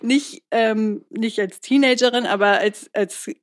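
A woman's voice: on the high side, unhurried. A young woman co-hosting a casual podcast, chatty and rambling, laughing often as she tells stories. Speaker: podcast co-host. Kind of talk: casual chat.

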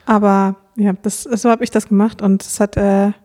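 A woman's voice: medium pitch, flat delivery, moderate pace, warm tone. A young woman, chatting casually as a podcast co-host and making dry, teasing remarks.

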